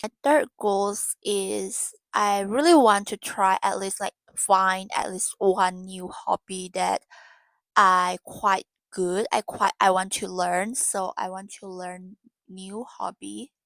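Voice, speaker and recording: Indonesian woman vlogging in halting English. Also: mid-range voice, steady tone, gravelly, fairly bright tone, dry recording, poor audio. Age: 20s. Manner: halting, searching for English words. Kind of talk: casual vlog talk about goals.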